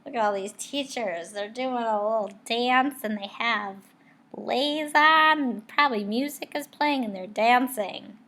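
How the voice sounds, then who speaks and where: A woman teacher reading a picture book aloud, expressive, pausing to put questions and asides to her young listeners. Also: a little high. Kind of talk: read-aloud storytelling with asides to children.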